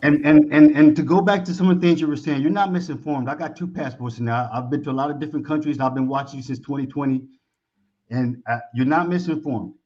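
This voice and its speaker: A man's voice: on the high side, fast talker, darkish tone. A man from Texas with an American accent, talking casually as a guest in a slangy, familiar, talkative manner. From Texas, United States. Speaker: caller, expatriate guest. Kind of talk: casual conversation. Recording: dull lo-fi call audio.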